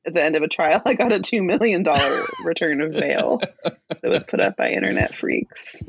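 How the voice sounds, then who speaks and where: Husky, creaky-voiced man joking around. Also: a little high, animated, normal speed, no room sound. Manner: wry, laughing, sarcastic. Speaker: guest, defense lawyer. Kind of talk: casual podcast chat.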